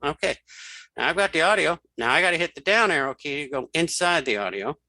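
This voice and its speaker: A man giving a casual, step-by-step software tutorial, thinking aloud and talking through each keypress informally. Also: fairly high pitch, expressive, brisk, no room sound. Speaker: screen reader tutorial instructor.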